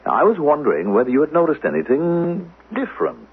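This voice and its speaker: An actor playing a smooth, insinuating council agent in 1970s radio drama, deliberate and politely menacing. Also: male voice, fairly high pitch, wildly swooping pitch, exaggerated voice. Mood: probing, insinuating. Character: smooth, insinuating male council agent posing as language teacher. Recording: muffled lo-fi 1970s radio broadcast.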